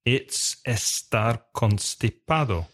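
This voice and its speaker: A man hosting an English lesson podcast, explaining carefully in a slow, clear teacher's manner. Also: mid-range voice, lively intonation, a bit bright. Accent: British.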